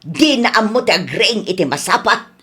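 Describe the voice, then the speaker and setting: A woman playing a nagging, sharp-tongued middle-aged aunt in a scolding, argumentative radio comedy drama. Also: mid-range voice, lively intonation, normal speed. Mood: indignant, complaining.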